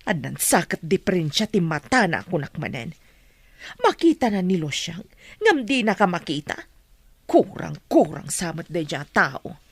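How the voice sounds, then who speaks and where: A woman's voice: fairly low pitch, sing-song, a little slow, husky, dry recording, full character voice. An actress playing a blunt, scornful mother in an Ilocano-accented radio drama. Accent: Filipino, Ilocano. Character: scornful mother of a grown daughter, blunt and scheming.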